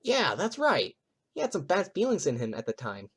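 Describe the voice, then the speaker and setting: A woman's voice: lowish voice, huge pitch swings, moderate pace, slight background noise. A woman playing a brash, streetwise spirit witness with a cheeky, confrontational manner in an audio drama. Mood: agreeing. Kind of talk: amateur audio drama acting.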